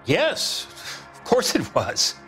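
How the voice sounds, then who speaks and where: Male as a cagey older car dealer, folksy and condescending, highish swooping voice, music behind. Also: wildly swooping pitch, slow, fairly bright tone, full character voice. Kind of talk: dramatic video game acting, 1940s crime drama.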